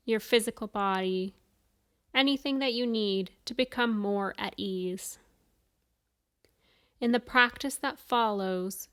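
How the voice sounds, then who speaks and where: Female meditation guide, unhurried and soothing, clear smooth tone, mid-range pitch, leaves space between instructions. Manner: soothing, measured instructions with pauses. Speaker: yoga nidra guide.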